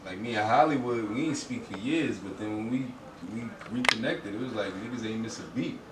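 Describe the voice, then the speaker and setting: Echoey noisy room, lowish male voice, podcast participant, low-key slangy casual chat. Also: fairly quick, distant mic.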